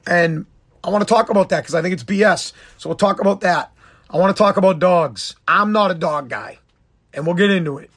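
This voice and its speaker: A middle-aged man with a hoarse, worn-out voice, rambling bluntly as he hosts his podcast. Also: high-pitched, a little fast, fairly bright tone. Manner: rambling, blunt, self-deprecating. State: hoarse, lost voice. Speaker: podcast host. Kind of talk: casual solo podcast talk.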